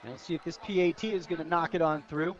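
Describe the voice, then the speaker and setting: A man's voice: fairly high pitch, steady tone, quick pace. An American man giving color commentary on a radio football broadcast. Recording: dull lo-fi radio broadcast audio.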